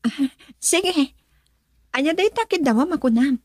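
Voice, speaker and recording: A female actor with a Filipino accent and a breathy voice, playing a guarded, dutiful young woman in a romantic radio drama. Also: high voice, expressive, measured pace, a little mellow, no room sound, studio quality. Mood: coy, hesitant.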